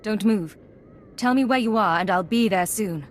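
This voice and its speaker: A woman playing a composed undercover Imperial agent in a video game, measured and businesslike. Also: mid-range voice, slight background noise, lightly acted. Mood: focused.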